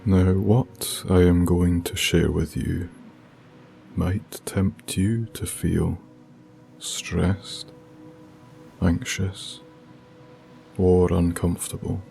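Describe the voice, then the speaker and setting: A man leads an ASMR guided meditation in a soft, hushed, soothing voice, speaking deliberately with long pauses. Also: sits low, little pitch movement, vocal fry. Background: music with binaural beats.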